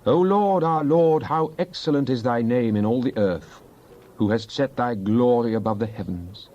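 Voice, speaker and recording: A man's voice: medium pitch, normal speed, mellow, dull audio, lightly acted. A man playing a fervent psalmist in a dramatized Bible reading, solemn and declamatory in archaic scripture language. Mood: exalted praise. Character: fervent psalmist pleading with and praising God.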